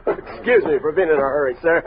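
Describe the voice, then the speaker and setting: An actor playing an eager, respectful frontier army sergeant with a folksy manner in an old-time radio western. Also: male voice, fairly high pitch, fast talker, crowd noise, natural voice. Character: Sergeant Ordway, eager, respectful frontier soldier craving tobacco. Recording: tinny noisy old radio recording.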